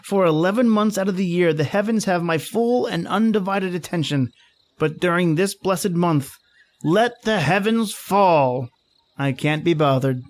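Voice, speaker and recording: A man's voice: sits high, measured pace. A man acting a teasing, romantic professor husband. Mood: playfully pedantic. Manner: playfully pompous, wordy. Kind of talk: old-time radio drama acting.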